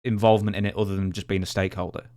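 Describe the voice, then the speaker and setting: Lower-voiced man co-hosting a podcast. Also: level tone, rapid-fire, darkish tone. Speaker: podcast co-host asking questions. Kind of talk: casual conversational interviewing.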